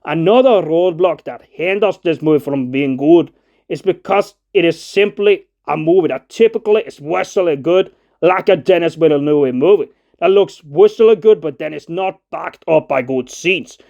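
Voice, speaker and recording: A man's voice: fairly high pitch, moderate pace, vocal fry, a little mellow, dull audio. A man narrating a scripted film review as a video essay, argumentative and opinionated, in formal, slightly unidiomatic phrasing.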